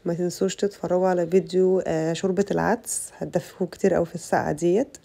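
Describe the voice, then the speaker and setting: A young Egyptian woman with an Egyptian Arabic accent, her voice rough from a bad cold, chatting to her viewers through a casual cooking tutorial. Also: fairly low pitch, steady tone, very fast, mellow. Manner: chatty, addresses viewers as girls. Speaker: cooking channel host.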